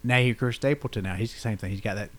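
A man's voice: fairly low pitch, rapid-fire. A man with a growly voice, hosting a casual interview and chatting in a rambling way. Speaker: podcast host.

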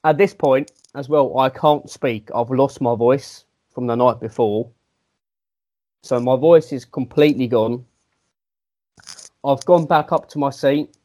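A man on a casual podcast spins a self-deprecating anecdote, stumbling and restarting as he goes. His voice sits at a plain middle pitch. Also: a little slow, pauses often, warm tone. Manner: rambling, self-mocking storyteller with restarts. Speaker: podcast co-host. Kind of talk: casual chat, anecdote telling.

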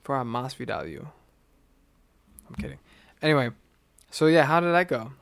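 A man co-hosting a casual podcast chat about fights, swearing freely and rambling with quick restarts. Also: mid-range voice, vocal fry, a bit bright, dry recording. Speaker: podcast co-host.

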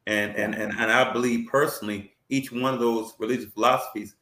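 A man's voice: medium pitch, fairly even intonation, a little fast, bright, forceful tone, echoey room. A man hosting a talk show, introducing his guest with effusive praise and plenty of fillers. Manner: effusive, repeats words and fillers. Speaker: host.

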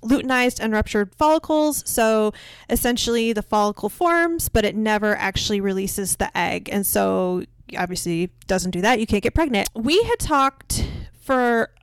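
A woman's voice: medium pitch, animated, normal speed, clear, resonant tone, no room sound. A woman from central Illinois, a guest in an interview, talking in a chatty, upbeat way and laughing easily. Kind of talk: casual interview conversation.

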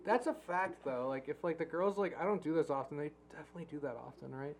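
A woman's voice: sits low, fast, a little mellow, a little hiss or hum. A woman co-hosting a podcast, talking in a crude, teasing, blunt way. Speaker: podcast co-host. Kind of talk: casual chat.

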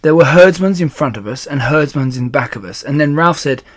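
A man's voice: a little high, expressive, a little fast. A man voicing a whiny, pestering schoolboy in a children's storybook. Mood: sheepish. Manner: insistent, repetitive questioning. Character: young schoolboy, whiny and pestering little brother.

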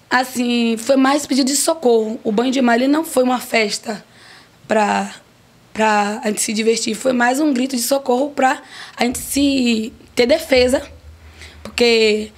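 Joking woman in her forties. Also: fairly high pitch. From Brazil. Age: 40s. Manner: lively, joking, emphatic storyteller. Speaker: restaurant owner guest. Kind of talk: casual podcast interview.

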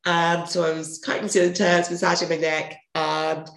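A woman with a gravelly, hoarse voice, a podcast guest telling a rambling story and correcting herself as she goes. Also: lowish voice, little pitch movement, normal speed, fairly bright tone. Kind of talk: casual interview storytelling. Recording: echoey room.

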